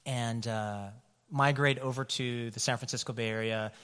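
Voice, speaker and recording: A man's voice: mid-range voice, steady tone, moderate pace, fairly bright tone, smooth. A man from California, a pastor, telling personal stories in a loose conversational way with many fillers. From California, USA. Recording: long hall reverb.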